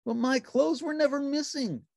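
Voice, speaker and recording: Woman putting on an earnest, high-voiced young male puppet. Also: moderate pace, creaky, a little mellow, no room sound, studio quality. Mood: earnest, protesting. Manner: earnest, plaintive, childlike. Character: innocent, earnest young male puppet pal, easily saddened.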